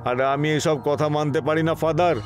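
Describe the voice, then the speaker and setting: A man's voice: on the high side, fairly even intonation, moderate pace, lightly acted. Cartoon police inspector, male actor: sure of himself, doubting, assertive and brusque, faint music bed. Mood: defiant. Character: confident, skeptical male police inspector, newly posted.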